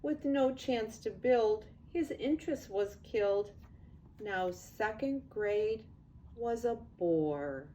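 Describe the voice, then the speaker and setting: An older woman, a grandmother, reads a picture book aloud to young children in an expressive, unhurried way with casual asides. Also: mid-range voice, a little hiss or hum, rough recording. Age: 60s or older.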